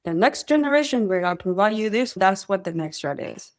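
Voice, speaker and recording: A woman's voice: mid-range voice, brisk. Woman telling her story. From Mexico. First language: Spanish. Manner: animated storytelling, acts out quoted voices. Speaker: radar technician guest. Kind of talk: conversational interview.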